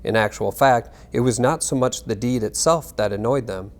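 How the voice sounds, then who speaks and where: A man giving a scripted reading of narration and historical text in a measured, formal manner. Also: mid-range voice. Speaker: reader.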